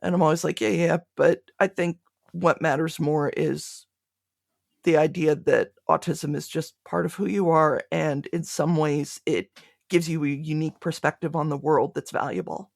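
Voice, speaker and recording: A woman's voice: deep voice, normal speed, vocal fry, a little mellow, dry recording, studio quality. A woman being interviewed, speaking reflectively and conversationally, often restarting her phrases mid-thought. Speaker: podcast creator being interviewed.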